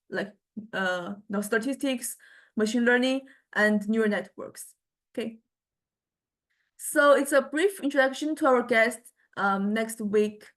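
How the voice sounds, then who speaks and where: A woman lecturing to a university class informally, speaking in halting phrases and often repeating words. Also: a little high.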